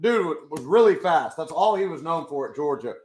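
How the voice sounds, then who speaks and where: A young man with a hoarse voice, chatting casually with his live chat as he watches a football game. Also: fairly high pitch, animated, normal speed, darkish tone, reverberant. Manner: chatty, swears, talks to chat. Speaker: live stream host.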